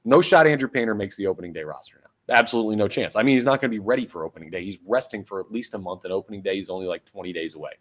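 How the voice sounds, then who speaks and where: A young man hosts a sports podcast on his own, his voice a little hoarse and deeper than usual after a night out. He talks casually and rambles with fillers. When things go wrong he turns dry and sarcastic. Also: animated, rapid-fire, hardly any pauses. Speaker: podcast host. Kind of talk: casual solo sports talk.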